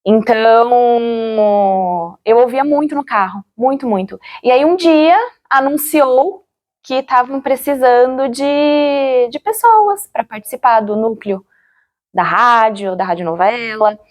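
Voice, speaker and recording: A Brazilian woman giving an interview, recalling her experience in a reflective, halting way, searching for words and often checking for agreement. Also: a little high. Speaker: interviewee, former radio drama actor. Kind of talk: interview, personal recollection.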